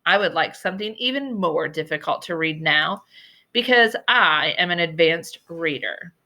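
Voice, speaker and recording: A woman reader acts out a haughty young bookworm girl in a storybook. She speaks in a bright, forceful tone, prim and very pleased with herself. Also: lowish voice, lively intonation, normal speed. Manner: prim, self-important. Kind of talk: storybook character voice in a read-aloud.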